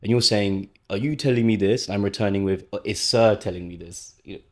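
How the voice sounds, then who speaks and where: Young man, low voice, quick, reflective guest talk with many self-corrections. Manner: fast, thoughtful, self-correcting. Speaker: podcast guest. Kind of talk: casual conversation.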